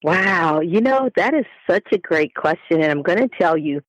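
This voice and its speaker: A middle-aged woman chatting as an interview guest, expressive and folksy, given to exclamations. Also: fairly low pitch, moderate pace, no echo, dull audio. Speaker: podcast guest, author and speaker. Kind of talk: casual interview chat.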